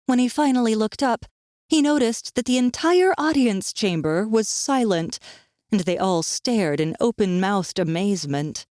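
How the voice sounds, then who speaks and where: Woman reading fantasy audiobook narration, mid pitch, real dry studio sound. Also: animated, measured pace, darkish tone, no room sound. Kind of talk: scripted narration, fantasy story.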